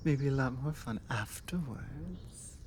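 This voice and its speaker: A woman's voice: rumbling low, lively intonation, takes it slowly, darkish tone, slight background noise, pitched below the performer's own voice, heavily acted. A woman voicing a confident, teasing, forward female vampire with a British accent in an ASMR roleplay. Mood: flirty, confident. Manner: flirtatious, self-assured, teasing.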